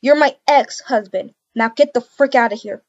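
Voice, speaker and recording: A woman's voice: on the high side, normal speed, darkish tone, no room sound, dull audio, lightly acted. A woman voicing a betrayed, indignant and bossy wife in an amateur game roleplay. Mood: scornful. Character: furious betrayed wife demanding divorce. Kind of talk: amateur voiced Roblox roleplay.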